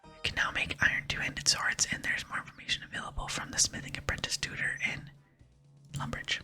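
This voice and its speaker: Woman, whispered ASMR gaming narration, crisp bright sibilance, close mic, soft unhurried delivery, music behind. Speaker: gaming video host. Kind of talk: whispered ASMR game playthrough with reading aloud. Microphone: close microphone.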